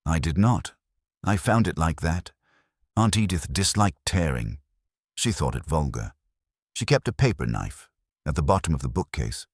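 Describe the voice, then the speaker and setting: A man's voice: extremely low pitch, very animated, moderate pace, no echo, studio quality, full character voice. A man voicing a prim, politely fussy woman in a mystery story, speaking precisely and genteelly. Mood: defensive, prim.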